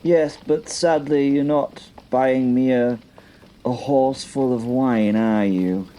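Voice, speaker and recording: A man's voice: medium pitch, slow pace, dark tone, slight background noise, natural voice. A man playing a wry, battle-hardened medieval knight in an audio drama, with dry, hesitant, sardonic banter. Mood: dry, reluctant warmth.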